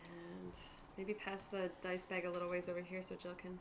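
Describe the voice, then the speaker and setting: A woman's voice: fairly low pitch, completely flat delivery, normal speed, gravelly. A woman guiding players through a tabletop roleplaying game in a casual, encouraging, easygoing way. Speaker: game master. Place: room with a group at a game table. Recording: noisy room with group around.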